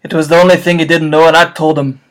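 A man voicing a big, sobbing, bearded male giant, loud and rough, while reading a book aloud with a friend. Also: on the high side, flat delivery, fast talker, natural voice. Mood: sobbing remorse. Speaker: co-reader. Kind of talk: casual read-aloud.

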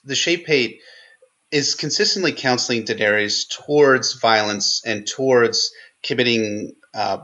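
A man with a bright, forceful voice analyses fiction in a conversational way. He is earnest and thinks aloud, pausing and restarting as he builds a point. The audio is a little dull and lo-fi. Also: on the low side. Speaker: guest co-host on a book podcast.

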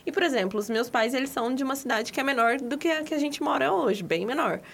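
Higher-voiced woman from Minas Gerais. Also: fast talker, gravelly. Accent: Minas Gerais Brazilian Portuguese. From Minas Gerais, Brazil. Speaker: conversation participant. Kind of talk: casual chat among friends.

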